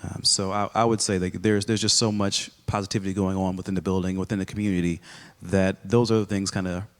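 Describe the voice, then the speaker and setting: A man from Texas, a high school principal, chatting casually in an interview with a joking, self-deprecating manner. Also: little pitch movement, fast talker. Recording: roomy hall echo.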